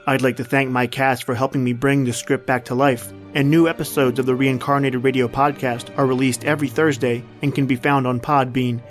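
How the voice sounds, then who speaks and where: A man's voice: medium pitch, level tone, brisk, hardly any pauses, soft background music. A man reading a scripted podcast sign-off as the host.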